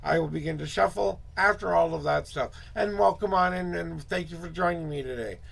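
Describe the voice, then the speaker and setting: A hoarse man hosting a casual tarot livestream, muttering asides to himself and greeting viewers in a loose, chatty way. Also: fairly high pitch, fairly bright tone. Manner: upbeat, drawn-out greetings to viewers. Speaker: tarot reader and livestream host.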